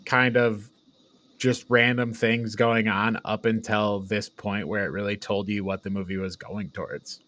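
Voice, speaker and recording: A man's voice: lowish voice, flat delivery, a little slow, a bit bright, no echo. A man co-hosting a podcast, loosely recapping and reviewing in casual, conversational talk. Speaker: podcast co-host. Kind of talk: casual movie review chat.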